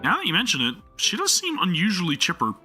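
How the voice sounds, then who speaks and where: A man reacting live to a video game on stream, excitable and jokey, often stumbling over his words. His bright voice swings widely in pitch. Game music plays faintly underneath. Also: fast.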